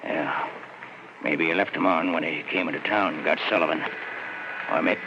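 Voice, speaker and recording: An actor playing a plain-spoken, urgent small-town police sergeant in an old-time radio horror drama. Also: male voice, extremely low pitch, fairly even intonation, moderate pace. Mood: awed unease. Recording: muffled, hissy old radio recording.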